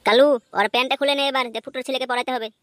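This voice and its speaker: Woman as a bossy, fast cartoon gang henchman. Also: high voice, steady tone, no echo, clean studio sound, natural voice. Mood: bullying. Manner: commanding, cocky, coarse. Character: bossy, foul-mouthed male gang henchman. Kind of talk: amateur comedy cartoon dubbing. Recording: music behind the voice.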